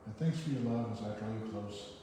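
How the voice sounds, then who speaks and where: A man giving a live poetry reading, with informal, halting, self-deprecating commentary between poems. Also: on the low side, warm tone. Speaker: reader at a poetry event. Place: echoey hall at a live event. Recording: noisy, echoey hall, distant mic.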